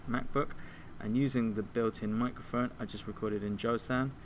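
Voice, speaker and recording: A man giving an informal software tutorial, explaining things step by step like a teacher. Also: medium pitch, fairly even intonation, normal speed, slight background noise. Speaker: music technology teacher.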